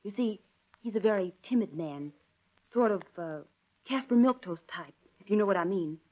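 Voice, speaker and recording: An actress playing an anxious, hesitant woman, halting, polite and apologetic, in 1930s radio drama style. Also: medium pitch, animated, close to an everyday voice. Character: anxious, hesitant woman seeking her missing sister. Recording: dull vintage radio drama recording.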